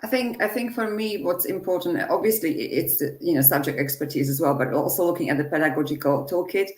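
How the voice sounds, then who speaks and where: A woman's voice: deep voice, fast, darkish tone. A woman language teacher speaking earnestly in a panel discussion, often restarting her sentences. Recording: echoey room.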